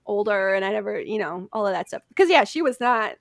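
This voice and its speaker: A woman's voice: medium pitch, expressive, fairly quick, vocal fry, no room sound. A woman hosting a podcast, casually telling history from her notes with chatty, self-deprecating asides. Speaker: podcast host presenting history research. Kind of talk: casual storytelling from notes among friends.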